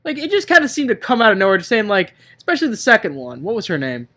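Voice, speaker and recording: Animated, sweary man ranting casually. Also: sits high, quick pace. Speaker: podcast co-host. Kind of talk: casual movie-review banter.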